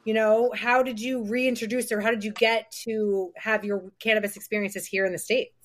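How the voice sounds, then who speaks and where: A woman's voice: on the high side, little pitch movement, moderate pace. A woman co-hosting a podcast, asking questions in an encouraging, gushing way. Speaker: podcast co-host. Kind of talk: casual interview chat.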